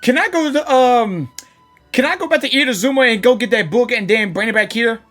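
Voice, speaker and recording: A woman's voice: a little high, animated, brisk, fairly bright tone, faint music behind. A woman streamer giving casual reaction commentary to a game, musing and wondering aloud as she goes.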